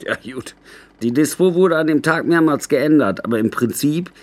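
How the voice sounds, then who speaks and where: An actor playing a brusque, exasperated film director in a radio drama, with an impatient, clipped manner. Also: male voice, a little high, animated, normal speed, a little mellow, lightly acted. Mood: explaining. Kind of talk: naturalistic radio drama acting.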